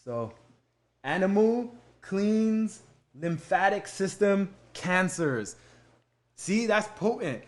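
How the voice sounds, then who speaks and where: A man's voice: sits high, very animated, slow pace. A young man hosting a casual live stream, answering viewer questions and thanking commenters in an easygoing way with frequent fillers. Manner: fillers and laughs between answers.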